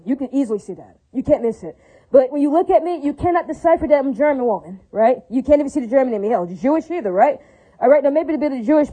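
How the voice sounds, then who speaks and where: A woman with a high, mellow voice delivers a rambling monologue straight to her viewers. She talks quickly, circles back to the same points and leans on fillers, and she keeps asking whether listeners follow her. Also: poor audio. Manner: repetitive, filler-heavy, keeps asking listeners if they agree. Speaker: vlogger addressing viewers.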